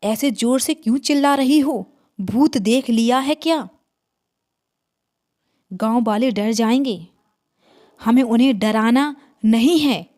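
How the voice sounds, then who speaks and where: A woman's voice: a little high, slow, long pauses, a little mellow. A woman storyteller voicing a clever, defiant male hero in a horror tale, bold and emphatic. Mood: scolding.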